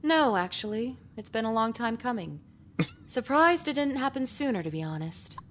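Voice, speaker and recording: A stern woman principal in a visual novel game. Her voice sits fairly high and swings widely in pitch, with faint noise behind it. She sounds exasperated and authoritative. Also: big pitch swings, moderate pace, a bit bright. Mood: resigned, frank.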